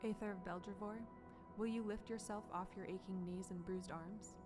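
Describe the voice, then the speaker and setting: Solemn female spirit of light, acted by a woman, fantasy audio drama; formal, measured delivery over loud music. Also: mid-range voice, steady tone, close to an everyday voice. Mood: solemn, challenging. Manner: grave, formal, measured proclamation. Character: solemn luminous female spirit offering hope.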